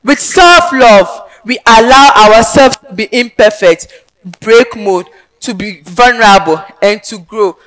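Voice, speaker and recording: A young woman with a Ghanaian accent performing a theatrical advocacy monologue on a large indoor stage, emphatic and declamatory with sudden loud swells. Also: a little high, measured pace, rough recording. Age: young adult. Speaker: pageant contestant.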